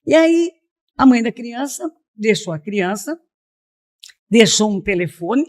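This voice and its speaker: A woman's voice: a little high, big pitch swings, slow pace. Woman telling her personal testimony. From Piracicaba, São Paulo state, Brazil. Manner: storytelling, often checks with "né?". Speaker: missionary, podcast guest. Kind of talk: personal testimony in a conversational interview.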